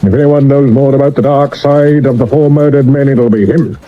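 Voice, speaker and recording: An actor playing a tired, dry, dogged Victorian police detective in a 1970s radio drama, understated and matter-of-fact. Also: male voice, mid-range voice, fairly even intonation, normal speed, lightly acted. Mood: weary, intent. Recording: muffled old medium-wave radio recording.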